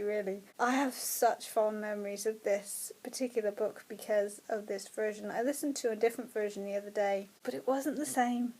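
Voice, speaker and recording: A woman from the UK talks to her camera in a chatty, excited way, often breaking into laughter. Her voice sits a little high. It is casual, unscripted vlog talk. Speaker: book vlogger.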